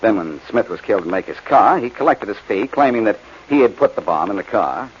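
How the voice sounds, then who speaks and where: An actor playing a sharp, confident amateur detective in a 1940s radio drama, brisk and wry. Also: male voice, on the low side, lively intonation, close to an everyday voice. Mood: assured, explaining. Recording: dull, noisy 1940s radio recording.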